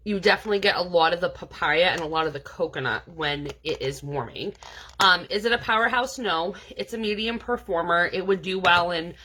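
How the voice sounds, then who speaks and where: Bright, stuffy-nosed chatty woman. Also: medium pitch. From New England. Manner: chatty, many "um" and "like" fillers. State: stuffed up from allergies. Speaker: scent consultant. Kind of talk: casual product review.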